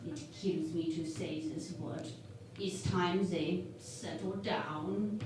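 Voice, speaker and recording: A woman as a slow, matter-of-fact science officer. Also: on the low side, fairly even intonation. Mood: solemn. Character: earnest, analytical female starship science officer. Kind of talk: sci-fi parody radio play acting. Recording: very echoey room, distant mic, faint noise.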